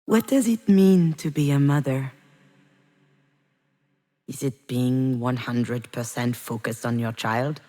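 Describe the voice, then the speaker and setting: A woman's voice: a bass voice, slow pace, long pauses, mellow. A woman playing a troubled, self-doubting, grieving mother in a narrative video game, speaking introspectively. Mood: reflective, searching. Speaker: voice actor in a visual novel game. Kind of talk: dramatic narrative game dialogue and introspective monologue.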